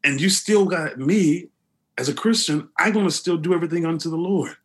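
A man with a deep voice, speaking earnestly as an interview guest, often restarting his sentences. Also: fairly quick, husky. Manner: earnest, with many restarts and fillers. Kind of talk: conversational interview.

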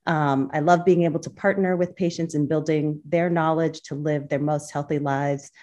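Low-pitched woman doctor from boston, talks thoughtfully with lots of ums. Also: fairly even intonation, moderate pace, warm tone. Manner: thoughtful, frequent fillers. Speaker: obstetrician-gynecologist guest. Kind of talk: podcast interview.

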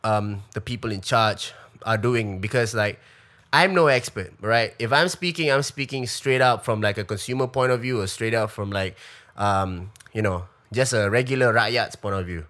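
A man's voice: lowish voice, moderate pace, a bit bright. A man in his thirties with a Singaporean English accent, talking off the cuff in a live-stream Q&A. He rambles with stacked fillers and restarts and swears casually. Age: 30s. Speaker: comedian.

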